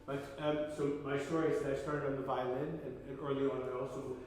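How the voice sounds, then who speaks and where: A man's voice: mid-range voice, flat delivery, fast talker, soft background music. A man from Canada, a composer giving an informal talk to a group, rambling and correcting himself as he goes. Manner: rambling, self-correcting, conversational. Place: large echoey room with an audience. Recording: distant echoey hall recording, room noise.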